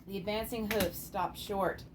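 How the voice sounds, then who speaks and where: A woman's voice: medium pitch, steady tone, a little slow. A woman reading scripted story narration for an amateur radio theater piece. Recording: clearly noisy amateur recording.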